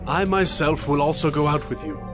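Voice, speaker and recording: A man's voice: a little high, animated, fairly quick, over music, lightly acted. A man playing a caring, resolute king who speaks solemnly in a dramatized scripture reading. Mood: solemn resolve.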